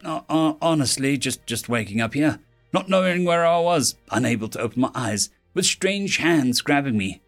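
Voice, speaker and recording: Meet a groggy, strong human patient just out of surgery, his voice croaky and his words halting and repeated. A man voices him close to his own voice but with a lively swing in pitch. Faint music runs behind the storybook reading. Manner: halting, hesitant.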